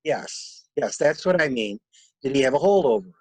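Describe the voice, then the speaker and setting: A man's voice: medium pitch, lively intonation, normal speed, slightly rough, dry recording. A man playing a shrewd, blunt stranger who questions people like a detective in a dramatic mystery reading. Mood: probing. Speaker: amateur reader, library staff.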